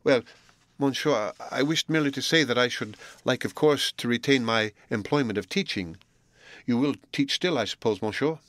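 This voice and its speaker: A man reading aloud voices a shy, earnest young woman pupil, speaking softly and slowly in a raised tone. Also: mid-range voice, dry recording, voice pushed up, close to an everyday voice. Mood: eager. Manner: soft, subdued and slow.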